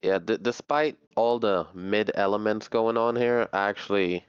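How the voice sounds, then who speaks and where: Smooth-voiced young man, dry and dull. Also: fairly low pitch, normal speed, dry recording. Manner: laid-back, slangy, dry humor. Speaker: stream co-host. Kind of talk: casual anime discussion stream.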